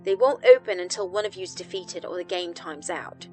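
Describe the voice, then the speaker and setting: A woman's voice: lowish voice, moderate pace, dark tone, soft background music. A woman voicing a frantic female doctor who is losing her composure, stammering formally in an audio horror story. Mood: nervous, evasive. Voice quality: cracked, breathy. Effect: intercom speaker.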